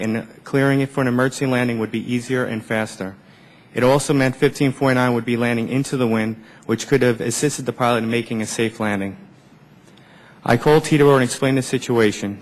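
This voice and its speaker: A man reading a prepared statement in a measured, formal way, as an air traffic controller giving testimony at a hearing. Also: mid-range voice. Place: large indoor government hearing room. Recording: echoey hearing room with long reverb.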